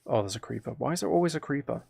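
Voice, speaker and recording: A man streaming a game, chatting casually in a relaxed ASMR style, rambling along in a self-deprecating way. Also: medium pitch, very fast, vocal fry, dry recording. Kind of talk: casual livestream chat while gaming, relaxed ASMR.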